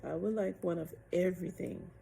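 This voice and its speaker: A woman's voice: fairly low pitch, fairly even intonation, normal speed, creaky, mellow, a little hiss or hum. A woman voicing a tiny, prim and proud little mermaid in a children's storybook. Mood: polite.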